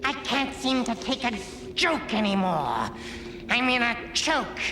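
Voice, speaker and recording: A man voicing a gleefully punning, ghoulish undead puppet horror host with a shrill, croaky voice, in an echoey TV clip. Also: sing-song, a little slow, sharp tone, heavily acted. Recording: echoey reverberant TV clip, crowd behind.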